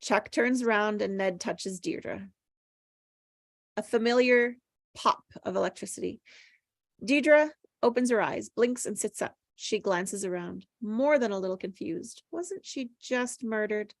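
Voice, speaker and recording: A woman's voice: medium pitch, measured pace, no room sound, studio quality. A woman reading stage directions aloud from a screenplay at a table read.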